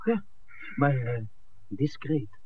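A man's voice: medium pitch, sing-song, slow pace. An actor playing a questioning, discreet film man in a 1960s radio play, with an understated way of talking. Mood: cautious, curious. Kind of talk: 1960s radio drama acting. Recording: muffled old radio broadcast, faint hiss.

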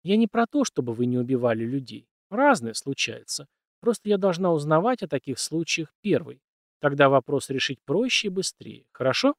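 A man's voice: a little high, sing-song, normal speed, dry recording, studio quality. A man voicing a condescending, shrewd young noblewoman's secretary in an audiobook, with a cool matter-of-fact manner. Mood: admonishing. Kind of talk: audiobook character dialogue.

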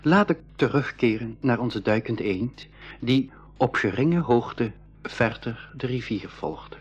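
A man's voice: mid-range voice, expressive, slow, breathy edge, soft background music. A man playing an earnest young adventurer recounting his journey in a school radio play. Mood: earnest, reflective. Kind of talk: scripted first-person storytelling in school radio play.

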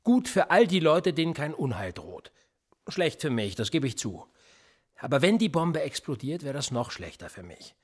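An actor playing a cold, sardonic police interrogator in a radio play, calm and mocking with a menacing edge and a husky voice. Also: male voice, a little high, moderate pace. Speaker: police officer.

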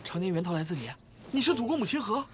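An actor as a brash, foul-mouthed, animated young man. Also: mid-range voice, fast talker, crowd noise, lightly acted. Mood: scolding, exasperated. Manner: crude, sarcastic, blustering. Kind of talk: audio drama acting.

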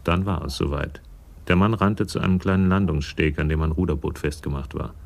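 A man's voice: rumbling low, a little fast, gravelly. A male actor narrating a German radio crime drama in a detached, dry, literary storytelling style.

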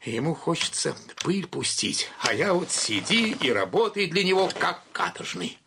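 A sour, overworked office bookkeeper, grumbles and mutters with a short temper. His creaky voice rises and falls in theatrical radio-play style, over audible background noise. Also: a little high, sing-song, moderate pace. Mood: bitter, irritable. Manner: irritable muttering, counting figures aloud.

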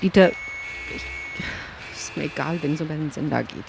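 A woman's voice: low-pitched, a little fast, gravelly. A woman playing a fearful, despairing field reporter trapped in chaos, in a German radio play. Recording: noisy field report with vehicle ambience.

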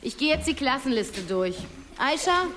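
An actress playing a strict, weary schoolteacher who talks in a dry, sarcastic and firm way. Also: female voice, high voice, very animated, normal speed, fairly bright tone, slight background noise. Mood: stern, sarcastic. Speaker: teacher. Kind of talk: radio drama acting.

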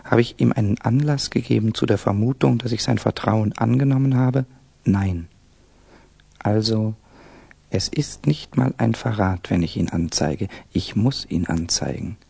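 An actor playing a tormented, anxious young Russian student in a 1960s German radio play, brooding and questioning himself in a husky, creaky voice. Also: male voice, lowish voice, unhurried, warm tone, dry recording, heavily acted. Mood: agonized brooding. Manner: brooding self-questioning, bursting into outcries.

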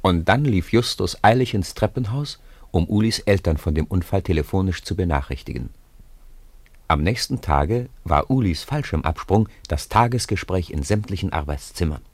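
Low husky guy narrating a radio drama story, very dry sound. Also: lively intonation, moderate pace, dry recording.

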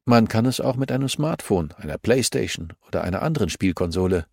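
A man's voice: fairly low pitch, expressive, fast talker, gravelly, a little mellow, no echo, pitched above the performer's own voice. Guy reading as a businesslike woman detective, wry, insistent, close dry audiobook sound. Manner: matter-of-fact, politely insistent. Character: composed, businesslike female investigator, firm and wry.

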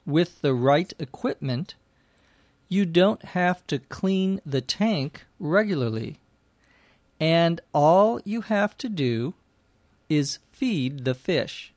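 A middle-aged man explains English vocabulary at a very slow, careful pace, every word laid out plainly. His voice sits a little high for a man and sounds close and dry, with no room around it. Dry little jokes slip in between the definitions. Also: dry recording. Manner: slow, clear, deliberate teaching delivery with dry jokes. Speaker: English teacher.

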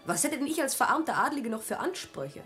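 Sharp-tongued aristocratic wife, haughty, insinuating, animated intonation, radio drama, faint music behind. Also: female voice, sits high, brisk. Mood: disdainful. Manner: pointed, dismissive, insinuating. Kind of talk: German radio drama acting.

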